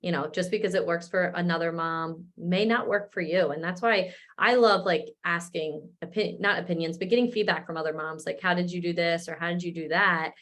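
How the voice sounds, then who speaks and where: A woman in her thirties, a wellness coach, talking in a relaxed, casual way with lots of fillers. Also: fairly low pitch, fairly quick, few pauses. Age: 30s. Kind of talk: casual chat.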